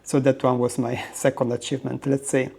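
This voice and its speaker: A man with an Italian accent, a native Italian speaker, talking casually to camera about learning languages, with frequent "uh" pauses and non-native phrasing. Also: mid-range voice, flat delivery, vocal fry, dark tone.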